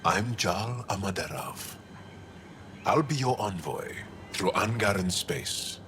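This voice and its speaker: Game alien envoy, deep, deliberate, formal, solemn, noisy ambience behind. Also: male voice. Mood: resolute. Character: solemn, blunt male alien warrior envoy. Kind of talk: video game dialogue acting.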